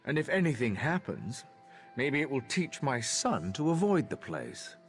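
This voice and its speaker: Stern elf official for a fantasy game: formal, disdainful, mid-pitched, widely varied intonation. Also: male voice, huge pitch swings, unhurried, gravelly, slight background noise, exaggerated voice. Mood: guarded, formal. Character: stern, haughty elven magistrate, father of a rebel. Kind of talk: video game character dialogue.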